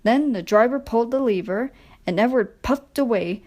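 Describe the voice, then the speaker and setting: A woman's voice: a little high, normal speed, warm tone. Woman narrating a children's storybook.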